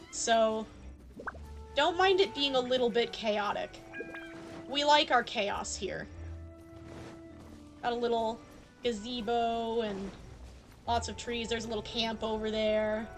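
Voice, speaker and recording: High-voiced chatty woman streamer over music. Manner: chatty, playful, addressing viewers directly. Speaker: live game streamer. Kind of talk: casual chat to stream viewers during gameplay. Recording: background music behind voice.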